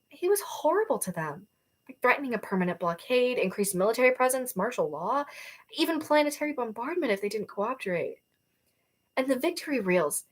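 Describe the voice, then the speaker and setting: A woman's voice: fairly high pitch, voice pushed up. A woman voicing a defiant, urgent young female rebel soldier in a novel reading, blunt and emphatic. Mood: troubled, confiding.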